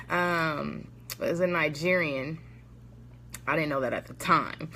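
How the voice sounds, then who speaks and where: A woman's voice: lowish voice, pushed and bright. A woman from the Bay Area, a motivational speaker, casually telling a story to viewers on a live video, chatty and laughing often. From San Francisco Bay Area.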